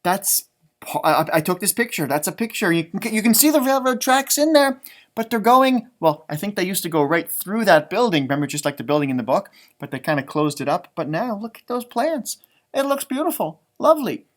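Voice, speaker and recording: A man with a fairly light, high-set voice leads a storytime for young children. He is chatty and enthusiastic, speaks directly to his listeners, and draws words out for emphasis in the casual way of an elementary school teacher. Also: big pitch swings, a little fast. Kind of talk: casual storytime read-aloud talk for children.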